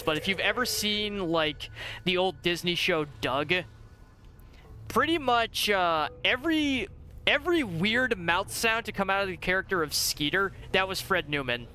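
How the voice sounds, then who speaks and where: Animated high-voiced man in his thirties. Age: 30s. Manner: animated, rambling, laughs often, swears. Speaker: streamer. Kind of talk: casual gaming stream chat.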